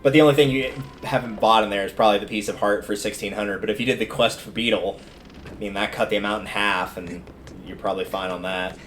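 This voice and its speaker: A man's voice: fairly low pitch, fairly even intonation, fast talker, a bit bright, slight background noise. A man livestreaming a video game, giving casual commentary and thinking aloud in a conversational way as he plays. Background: video game music.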